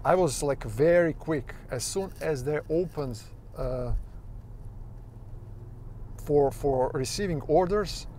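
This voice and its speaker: Slow, rambling man. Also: fairly high pitch, warm tone, a little hiss or hum. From Croatia. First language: Croatian. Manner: loose, hesitant, full of fillers and restarts, swears casually. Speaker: car owner reviewing his new car. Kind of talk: rambling casual car review while driving. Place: inside a car.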